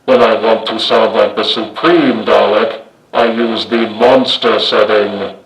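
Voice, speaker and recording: List: man, DIY tutorial host, measured matter-of-fact delivery, lowish voice, echoey room. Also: steady tone. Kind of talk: step-by-step tutorial narration.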